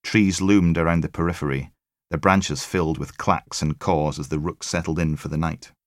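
A man's voice: extremely low pitch, steady tone, normal speed, no room sound, clean studio sound. A man reading a crime thriller as scripted audiobook narration.

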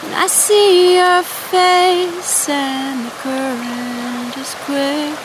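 A woman sings a gentle song in a high voice, drawing the words out very slowly. Clear noise sits over the field recording. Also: steady tone. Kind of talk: singing a slow, gentle song.

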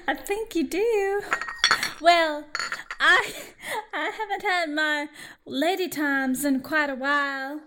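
A woman's voice: high voice, fairly even intonation, takes it slowly, heavy background noise. A woman playing a coy, suggestive and insistent lady in a comic spoof advert sketch.

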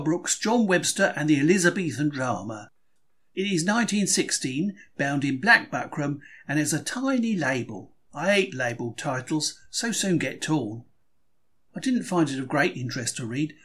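Animated, husky cheeky 1930s bookseller diarist read by a man. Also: on the high side. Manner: colloquial, exclamatory, opinionated. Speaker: reader of a diary. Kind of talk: expressive scripted audiobook-style reading.

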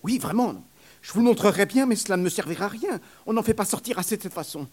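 A man's voice: lively intonation, fast talker, a little mellow. A man voicing a suffering young wet nurse, pleading in a raised, breathless voice. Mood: confiding. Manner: high, plaintive, breathless pleading.

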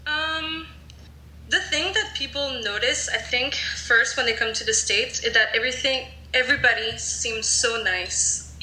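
A woman's voice: on the high side, unhurried, sharp tone. A young woman from France with a French accent, chatting casually on a podcast and hedging her points with fillers. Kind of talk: casual chat. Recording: roomy echo.

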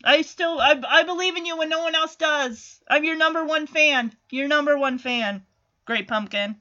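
A woman's voice: high-pitched, moderate pace, pushed and bright, dull audio. A woman voicing an earnest, bashful little cartoon boy who is sincere and a bit hesitant. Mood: devoted.

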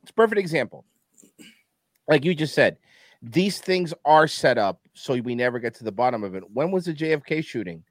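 A man's voice: mid-range voice, fairly quick, dry recording. A man whose first language is Spanish, talking in a casual political chat as a guest and slipping into mocking impressions. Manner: animated, swings into mocking voices, laughs often. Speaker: podcast guest.

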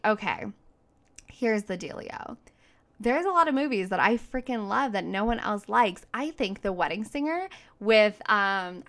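Woman podcast host doing a motivational talk, super emphatic n outgoing, slangy, medium pitched voice. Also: vocal fry. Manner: outgoing, self-described big voice.

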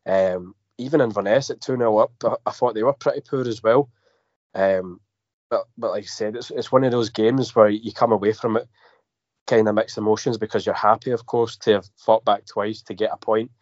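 A Scottish man with a cold and a slightly croaky voice, chatting as a football podcast panelist, stumbling over repeated words. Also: lowish voice, steady tone, a little fast, darkish tone, no echo. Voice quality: a bit croaky. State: has a cold. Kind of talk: casual football podcast chat. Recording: dry, dull lo-fi podcast audio.

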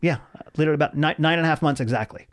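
A man in his forties, a stand-up comedian talking casually as an interview guest, telling stories in an animated way and acting out dialogue. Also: a little high, racing. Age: 40s. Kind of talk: casual conversational storytelling.

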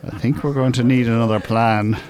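A man's voice: medium pitch, fairly even intonation, brisk, a little mellow, a faint crowd, close to an everyday voice. An actor playing a wry, worldly, cynical older man with an Irish accent in a naturalistic radio drama. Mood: wry, bossy. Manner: dry, teasing, opinionated.

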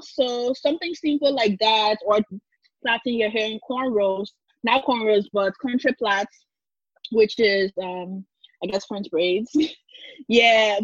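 A woman's voice: a little high, no room sound. A talkative young woman from Liberia, telling her story conversationally as an interview guest. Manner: talkative, laughs easily. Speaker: interview guest, culture and fashion blogger. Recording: dull, lo-fi dry audio.

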